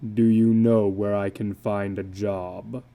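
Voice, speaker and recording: A man's voice: fairly low pitch, little pitch movement, creaky, warm tone, pitched below the performer's own voice. A man voicing a weary, middle-aged tattooed carnival drifter in a storybook reading, speaking in a heavy, resigned manner. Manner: slow, heavy, resigned delivery.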